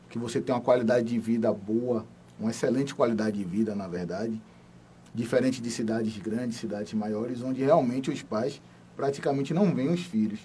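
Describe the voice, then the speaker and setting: A man from Salvador, a police major giving a measured, explanatory radio interview. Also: medium pitch, dark tone, a little hiss or hum. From Salvador, Bahia, Brazil. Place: radio studio.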